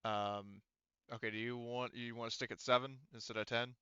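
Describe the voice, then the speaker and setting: A male game master with a bright, clear voice talks players through the rules in a casual, halting way, thinking aloud. The sound is dry and close, though slightly dull and lo-fi. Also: mid-range voice, level tone, no room sound. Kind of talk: casual tabletop game refereeing.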